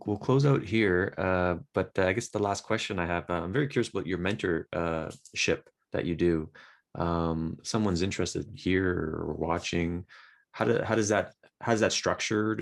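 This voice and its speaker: A man in his thirties hosting an interview over a video call, asking questions in a relaxed way. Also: deep voice, gravelly. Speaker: host. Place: indoor room, plain wall.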